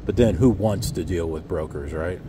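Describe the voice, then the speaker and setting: Middle-aged guy ranting sarcastic into his phone outside, low voice, traffic noise behind. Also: normal speed. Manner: sarcastic, rambling, many fillers. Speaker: trucking vlogger. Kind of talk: casual outdoor vlog rant. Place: outdoors by a block wall. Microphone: phone at arm's length.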